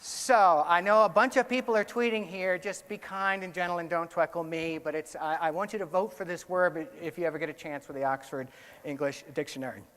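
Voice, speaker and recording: A man's voice: a little high, brisk, fairly bright tone. A man with an American accent gives a conference keynote on research findings in a conversational way, often correcting himself. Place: indoor conference hall, on stage. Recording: echoey hall with long reverb.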